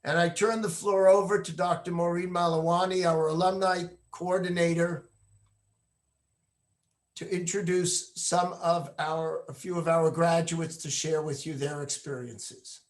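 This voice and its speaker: A man presenting a program and handing over to the next speaker in a measured, formal way in an online info session. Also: on the high side, level tone. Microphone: video call.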